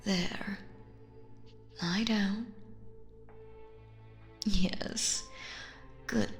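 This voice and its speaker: A woman performs as a sinister, doting female magician in an audio drama, speaking slowly and coaxingly. Her theatrical delivery slips into breathy whispers, possessive and controlling. The voice rings with echo over faint music. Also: mid-range voice, fairly even intonation. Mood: sweetly menacing. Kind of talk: roleplay audio drama, intimate hypnotic monologue.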